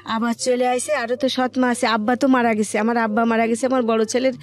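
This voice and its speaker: A woman's voice: fairly high pitch, flat delivery, quick pace. A middle-aged woman with a colloquial Bangladeshi accent, telling her own life story in a plain-spoken, conversational way.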